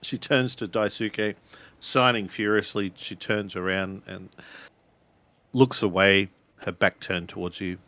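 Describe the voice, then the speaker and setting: A man with a fairly low voice narrates a tabletop role-playing game. He describes the action as he makes it up, in short, halting phrases. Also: steady tone, pushed and bright, no room sound.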